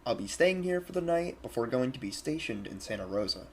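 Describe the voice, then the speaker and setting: A man's voice: mid-range voice, very animated, a little fast, slight background noise, lightly acted. A man playing an earnest, plain-spoken young American soldier, narrating his war journal in a scripted audio drama. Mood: upbeat, sincere. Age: young adult. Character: eager twenty-year-old American soldier keeping a war journal.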